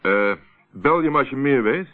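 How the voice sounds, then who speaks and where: An actor playing a cool, insistent investigator in a Dutch radio play, curt and in control. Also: male voice, mid-range voice, expressive, moderate pace, lightly acted. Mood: calm, grim. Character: cool, commanding male investigator. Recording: muffled, tinny old radio recording.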